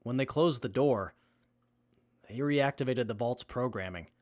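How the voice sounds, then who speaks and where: Man as an earnest young techie survivor, mid-pitched. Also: close to an everyday voice. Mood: grave. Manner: measured, methodical, earnest. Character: earnest, capable young male tech-savvy survivor. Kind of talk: post-apocalyptic audio drama acting.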